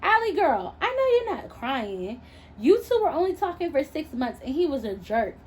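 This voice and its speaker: Brash young heroine of an audio novel, acted by a woman: highish, mouthy, sarcastic, unapologetic, faint noise behind. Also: sing-song, normal speed. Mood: incredulous. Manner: sarcastic, profane, slangy. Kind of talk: expressive audiobook-style character dialogue.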